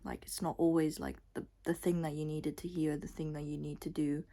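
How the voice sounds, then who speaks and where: A woman's voice: low voice, flat delivery, brisk, dark tone. A young adult woman vlogger giving a casual, unscripted monologue in a rambling, blunt way with casual swearing. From Scotland and Thailand.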